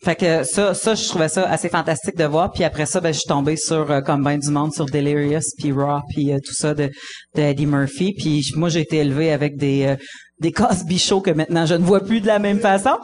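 A woman's voice: low voice, steady tone, brisk, a little mellow. A woman with a Quebec French accent, a comedian chatting casually and laughing often, with many restarts. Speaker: comedian guest. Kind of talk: casual podcast chat.

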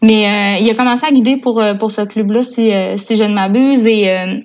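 A woman's voice: mid-range voice, steady tone, brisk, a little mellow. A woman with a Quebec French accent, an interview guest telling stories in a casual, enthusiastic way. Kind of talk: casual conversational storytelling.

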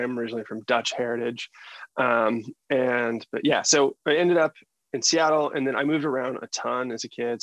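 An American man of about forty answers interview questions in a loose, informal way. His voice is mid-pitched with a creaky, gravelly edge. The recording is dry, without room echo. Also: fairly bright tone. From United States. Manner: informal, slangy. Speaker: podcast guest, sound engineer. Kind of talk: casual interview chat.

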